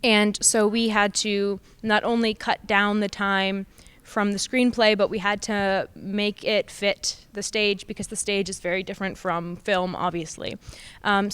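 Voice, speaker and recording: A woman's voice: medium pitch, fairly bright tone, smooth, no echo. A teenage female student actor with an American accent, explaining things in an interview.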